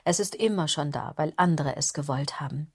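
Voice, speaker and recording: An actress playing a wry female commentator in a literary radio drama, reciting in a measured, ironic manner. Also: deep voice, breathy edge, dry recording, clean studio sound.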